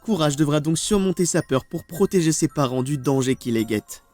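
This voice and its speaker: A man's voice: fairly high pitch, lively intonation, fairly quick, dark tone, soft background music. A man giving scripted storytelling narration, articulate and dramatic, putting questions to the audience. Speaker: narrator of a video documentary series.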